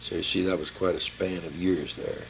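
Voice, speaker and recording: An elderly American man in his seventies or older reminiscing informally about his life, halting and plain-spoken as he searches his memory. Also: low voice, fairly even intonation, gravelly, slight background noise, low-quality recording. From Pittsburgh area, Pennsylvania, United States. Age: 70s or older. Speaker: family member recording his life story for a relative.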